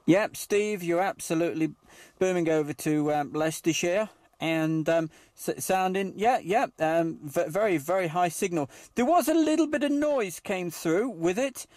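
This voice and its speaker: A man running a CB radio net, speaking in a halting, hesitant way. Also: sits high, a little mellow, no room sound. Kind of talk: amateur CB radio chat.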